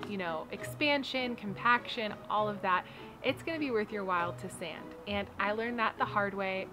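A chatty woman in her thirties talks straight to the camera from her backyard garden, upbeat and loose with plenty of "like" and "you know." Her voice sits at an ordinary middle pitch, and music plays clearly underneath. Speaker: homestead building vlogger. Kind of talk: casual how-to talk to camera. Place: backyard garden outdoors by wooden raised beds. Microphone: camera framed on her seated, medium shot.

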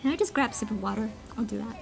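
A woman's voice: fairly high pitch, rapid-fire, gravelly. A woman with a playful, giggly, self-deprecating manner, chatting casually and ramblingly to online listeners. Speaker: amateur cover singer answering a voice meme. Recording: music playing behind the voice. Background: background song.

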